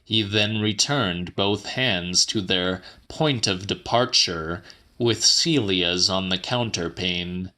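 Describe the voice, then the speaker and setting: A man with a fairly low, forceful voice narrates literary fiction. He reads slowly and precisely, shaping each phrase with a touch of drama. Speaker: audiobook narrator.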